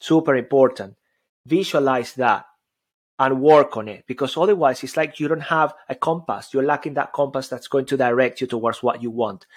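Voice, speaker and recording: Energetic Venezuelan-accented man giving speaking tips. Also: medium pitch, moderate pace, slightly rough. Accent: Venezuelan Spanish. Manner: energetic, emphatic, stacks repeated phrases. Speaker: podcast co-host. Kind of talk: motivational speaking tips on a live audio show.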